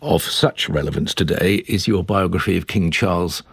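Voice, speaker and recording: A British man presents a radio interview programme in a low, slightly husky voice. The sound is close and dry, as he introduces and questions his guests. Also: normal speed, no room sound. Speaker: radio host. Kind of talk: live radio news-magazine interview.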